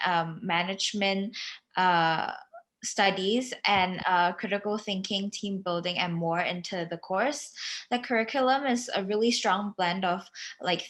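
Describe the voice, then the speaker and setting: A woman's voice: mid-range voice, a bit bright. Young woman giving an informal online presentation. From Hong Kong, born in Singapore. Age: 20s. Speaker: recent graduate sharing student experience.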